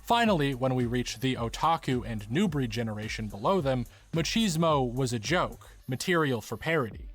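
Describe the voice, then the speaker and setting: A man's voice: medium pitch, sing-song, moderate pace, hardly any pauses. A man reading scripted documentary narration for a video essay, articulate and formally worded.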